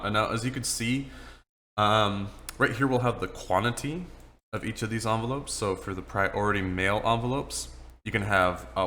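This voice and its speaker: A man's voice: fairly low pitch, sharp tone, a little hiss or hum. A man walking staff through an office supply order form in a casual, joking way, with plenty of ums and uhs. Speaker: office trainer. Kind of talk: screen-recorded training walkthrough.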